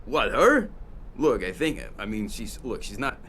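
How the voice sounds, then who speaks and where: An actor playing a casual, slangy young American plumber in a video game cutscene. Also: male voice, mid-range voice, very animated, a little slow, fairly bright tone, husky, full character voice. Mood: nervous, protesting. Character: laid-back, argumentative American plumber, young everyman.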